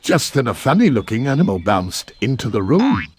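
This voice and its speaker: Very animated male storybook narrator. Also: medium pitch, moderate pace, breathy edge. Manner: expressive storytelling. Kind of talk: scripted children's story narration.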